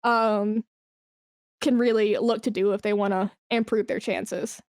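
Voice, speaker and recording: A woman's voice: medium pitch, steady tone, unhurried, darkish tone, no room sound, studio quality. A woman guest in a conversational interview, giving career advice in an informal, encouraging and emphatic way. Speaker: podcast guest, esports brand and community manager.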